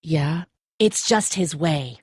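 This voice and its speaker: Tough stressed survivor boss lady in a zombie game, lowish voice, blunt and protective, super dry sound. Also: expressive, slow pace, darkish tone, slightly rough, dry recording, full character voice. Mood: weary, explaining. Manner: blunt, urgent, commanding. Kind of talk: dramatic video game voice acting.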